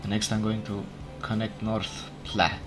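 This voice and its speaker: Slow, low-voiced man narrating his gameplay. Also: little pitch movement. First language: not English. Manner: unhurried, thinking aloud, self-correcting. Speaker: let's play gamer. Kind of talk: casual gameplay commentary. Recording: game music playing behind. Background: game music.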